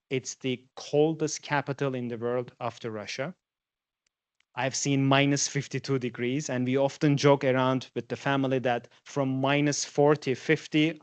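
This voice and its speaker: A man's voice: mid-range voice, smooth, no echo. A man in his forties from Turkey, a native Turkish speaker, giving a conversational guest lecture to students and asking them questions. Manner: conversational, asks the audience questions.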